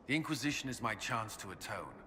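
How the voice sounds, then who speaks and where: A burdened, dutiful commander in a fantasy video game. His voice is fairly low yet forward and bright, with formal phrasing. It carries in a reverberant hall. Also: lively intonation, quick pace, slight background noise. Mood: remorseful, resolute. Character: earnest, guarded military commander, burdened and dutiful.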